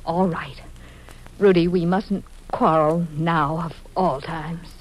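A woman's voice: deep voice, steady tone, slow pace, noisy background. An actress playing an imperious, scheming wealthy widowed mother in old-time radio soap opera style, theatrical and condescending. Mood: tense, scolding.

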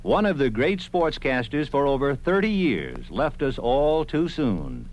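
Male radio history narrator, scripted documentary read, highly animated intonation, mid pitch. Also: measured pace, poor audio.

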